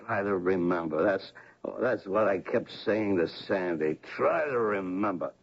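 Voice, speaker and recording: An actor playing a half-mad, confused alcoholic derelict in a radio drama, halting and repeating himself as he pleads. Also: sits low, gravelly, heavily acted. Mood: rambling, muddled. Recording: dull, lo-fi old radio recording.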